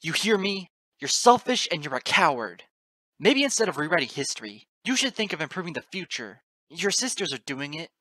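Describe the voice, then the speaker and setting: Man voicing a shouting, furious, defiant pony hero. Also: on the high side, big pitch swings, moderate pace, no echo, pitched above the performer's own voice, full character voice, poor audio. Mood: enraged, scornful. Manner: shouting, snarling, ranting. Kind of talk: dramatic fantasy story reading.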